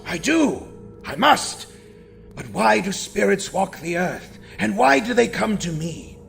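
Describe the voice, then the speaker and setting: An actor playing a miserly old man, gruff and scoffing. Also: medium pitch, very animated, unhurried, bright, forceful tone, full character voice. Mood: terrified. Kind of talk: radio play acting. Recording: echoey hall reverb with faint music.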